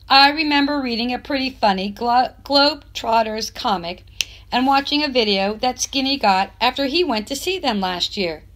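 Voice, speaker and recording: A woman reading a children's novel aloud in expressive narration, with measured phrasing and emphatic stresses. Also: fairly high pitch. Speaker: teacher reading a book aloud.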